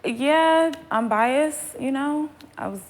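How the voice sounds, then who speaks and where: A woman's voice: high voice, slow pace. A woman in her thirties, a dating show contestant chatting in a giggly, bubbly way. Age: 30s. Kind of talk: casual chat.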